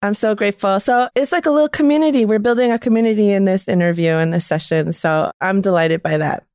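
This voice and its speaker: Effusive woman host interviewing an artist, medium pitch, dry recording. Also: fast, darkish tone, clear, resonant tone, no echo. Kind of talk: podcast interview.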